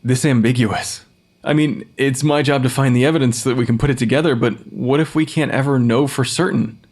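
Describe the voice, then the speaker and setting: An actor as a troubled young male pathologist, new on the job, in an audio drama. His mid-pitched voice is earnest, questioning and a little hesitant. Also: steady tone, fairly quick, darkish tone, close to an everyday voice. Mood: uneasy.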